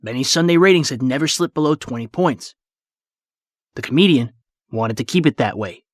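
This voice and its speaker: A man's voice: mid-range voice, lively intonation, normal speed, dry recording, studio quality. A man giving scripted documentary narration as the narrator of a history podcast. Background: music.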